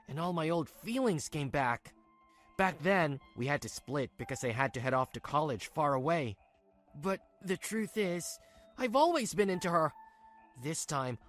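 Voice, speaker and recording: A man's voice: on the high side, fairly bright tone. Lovestruck, self-centred young husband played by a man, whiny and earnest manga-dub dialogue, faint music underneath. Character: dreamy, selfish younger husband, lovestruck and pleading.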